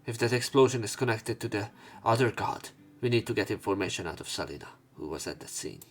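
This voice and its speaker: A man doing a let's play, reading visual novel narration and dialogue aloud, somewhat haltingly, correcting himself as he goes. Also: fairly low pitch, expressive. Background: game music.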